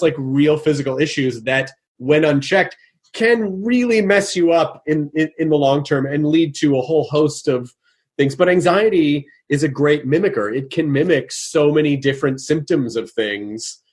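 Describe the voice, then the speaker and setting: Animated man, high voice, thinking aloud. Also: moderate pace. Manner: thinks aloud with many restarts, animated when quoting. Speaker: podcast host. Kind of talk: casual conversational interview.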